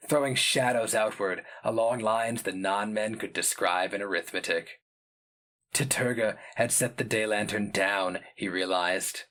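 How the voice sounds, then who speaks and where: A man giving a grave, measured, theatrical reading of epic fantasy as the narrator. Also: medium pitch, a bit bright.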